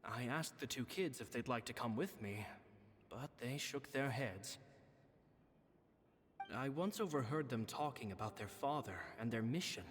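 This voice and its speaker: An actor playing a kindly, humble male stage magician, reading his diary in an earnest, gentle way in a game voice-over. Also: mid-range voice, expressive, a little slow, slight background noise, natural voice. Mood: reflective. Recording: game audio with long reverb and music.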